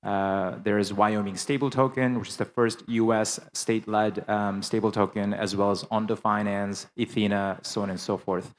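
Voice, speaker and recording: A man's voice: lowish voice, little pitch movement, normal speed, hardly any pauses. A man introducing himself and his company on a conference panel, speaking fluently with frequent ums and uhs. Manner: fluent business talk with frequent fillers.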